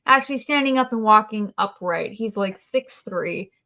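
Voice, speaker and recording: A woman co-hosting a casual review podcast in a deadpan, dryly sarcastic manner. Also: medium pitch, moderate pace. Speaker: podcast co-host.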